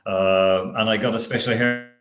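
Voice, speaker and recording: A man's voice: fairly low pitch, fairly even intonation, normal speed. A man with an Irish accent, a university international relations director, chatting in a rambling, anecdotal way on a video call webinar panel. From Ireland. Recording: echoey room on video call.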